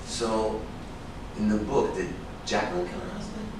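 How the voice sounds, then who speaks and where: A man's voice: on the low side, very animated, normal speed. An actor playing a pushy, seductive, messy man in a staged reading of a drama, coaxing and insistent in his delivery. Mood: probing, sly. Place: echoey indoor room at a staged reading. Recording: echoey room, distant mic, noisy.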